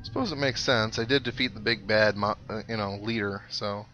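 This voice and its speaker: A man's voice: fairly low pitch, a little fast, pushed and bright. A man hosting a casual let's play, chatting to viewers and thinking aloud with some wry joking. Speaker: gaming video host. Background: video game music.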